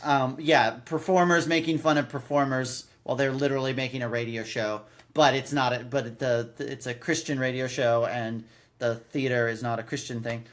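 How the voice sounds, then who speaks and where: A man's voice: medium pitch, fairly even intonation, moderate pace. A man of thirty-nine co-hosting a podcast in casual chat, often stammering and doubling back as he explains. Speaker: podcast co-host.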